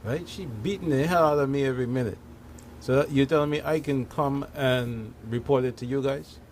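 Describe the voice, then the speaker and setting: A man with a mid-pitched voice puts questions in a discussion. He is blunt and colloquial, with faint noise in the recording. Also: fairly quick, darkish tone. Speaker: discussion participant asking questions.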